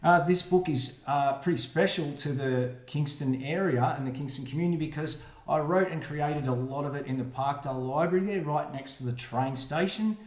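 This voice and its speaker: A man, the book's author, reading his rhyming picture book aloud for children, with quiet asides about the pictures. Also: a little high, normal speed, few pauses. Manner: friendly, reads rhyming verse with quiet asides. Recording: roomy echo.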